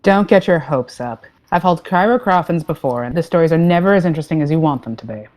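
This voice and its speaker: A woman's voice: sits low, fast. A woman playing a no-nonsense, jaded starship captain with a dry delivery in a sci-fi comedy play. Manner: dry, deadpan.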